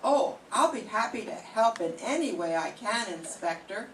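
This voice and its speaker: A woman's voice: mid-range voice, animated, moderate pace, natural voice. An older woman reading the part of a polite young visitor who turns out to be a murderer, in an old-time radio mystery, with eager, exclaiming lines. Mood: eager, dramatic. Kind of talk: 1940s radio mystery acting. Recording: echoey room, distant mic, audible noise.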